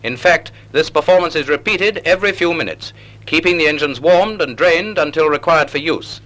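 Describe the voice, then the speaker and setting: A man narrating a 1940s naval instructional film in a measured, didactic, precisely enunciated manner on a period film soundtrack. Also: fairly high pitch, fairly bright tone.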